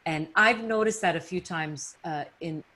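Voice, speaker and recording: Podcast host, woman, deep voice; reflective, restarts often, gently talks things through. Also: moderate pace. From Canada or US, Italian family. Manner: reflective, many restarts and fillers. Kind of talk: casual heartfelt chat.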